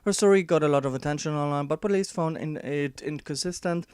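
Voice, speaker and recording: Non-native english guy reading an article out loud, lots of uhs and restarts, kinda high smooth voice, dry sound. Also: no echo. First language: not English. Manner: halting, many "uh" fillers and restarts; dry, sarcastic asides. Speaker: commentator. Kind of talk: casual commentary, reading an article aloud.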